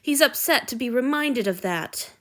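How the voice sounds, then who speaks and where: A woman's voice: on the high side, animated, normal speed, pitched above the performer's own voice, lightly acted. A woman narrator puts on an injured young man, frustrated and short-tempered. His few spoken words come out slurred and mangled. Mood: urgent.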